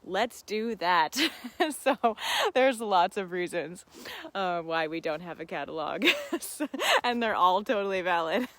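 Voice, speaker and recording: A woman's voice: on the low side, animated, slow pace, fairly bright tone, dry recording. A woman hosting a casual live question-and-answer stream, talking to viewers in an effusive, gushing, giggly manner. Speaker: host of a live seed-farm Q and A. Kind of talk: casual livestream chat with viewers.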